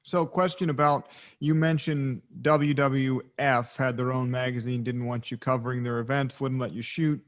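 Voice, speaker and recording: A man's voice: mid-range voice, fairly even intonation, normal speed, hardly any pauses. A man co-hosting a podcast who asks long, meandering questions in a casual way. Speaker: podcast co-host.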